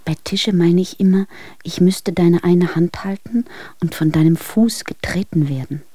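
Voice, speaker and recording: Lovesick, clinging young wife, mellow voice. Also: female voice, lowish voice, unhurried, husky, no room sound, studio quality. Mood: yearning, tender. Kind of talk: radio drama reading of love letters.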